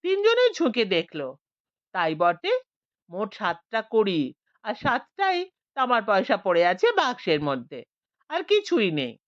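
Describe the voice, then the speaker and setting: A woman storyteller narrating an audiobook in an expressive, animated way. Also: mid-range voice, a little slow, no room sound. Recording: dry, dull lo-fi audio.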